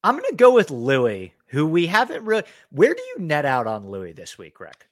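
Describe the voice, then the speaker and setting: A man's voice: a little high, normal speed, no echo, clean studio sound. A man co-hosting a golf betting podcast, talking through his picks in casual chat. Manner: animated, talks through picks with fillers. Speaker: podcast co-host, golf analyst.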